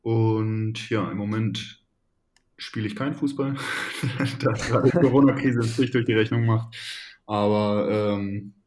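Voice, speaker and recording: A man in his twenties from the Hannover region, a football player answering interview questions casually, pausing often with fillers and restarting sentences. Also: lowish voice, a little mellow. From Hannover region, Germany. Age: 20s. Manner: hesitant, many fillers and restarts. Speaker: professional football player, guest.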